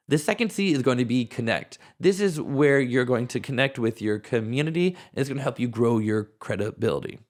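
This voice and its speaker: A man with a raspy voice, hoarse from a cold, hosting a podcast at home in a casual, rambling way. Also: medium pitch. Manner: casual, rambling, thinks aloud with restarts. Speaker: podcast host. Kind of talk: solo podcast talk.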